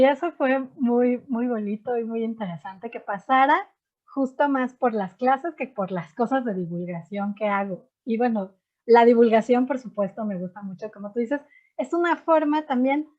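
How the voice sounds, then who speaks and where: A woman with a Mexican accent, a chemistry teacher in her thirties, talking casually as a radio guest and filling pauses with "este" and "pues". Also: a little high, muffled recording. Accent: Mexican Spanish. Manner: chatty, frequent fillers like "este" and "pues". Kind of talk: relaxed radio interview chat.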